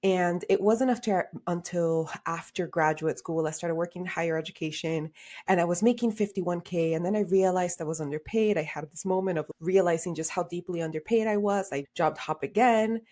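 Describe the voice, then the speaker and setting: A woman's voice: on the low side, brisk. A woman in her thirties from Honduras, a career coach telling her story as a podcast guest with many "like" fillers. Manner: animated, frequent "like" fillers. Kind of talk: podcast interview conversation.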